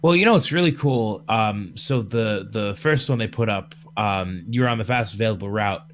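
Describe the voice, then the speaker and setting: A man's voice: lowish voice, moderate pace. A young man bantering casually on a live stream with friends. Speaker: voice actor on a stream.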